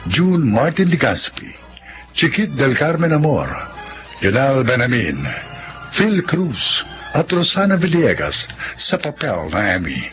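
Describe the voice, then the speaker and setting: A Filipino man reading scripted narration as a radio drama announcer, in a formal, measured style. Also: medium pitch, very animated. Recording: dull lo-fi audio with clear music underneath.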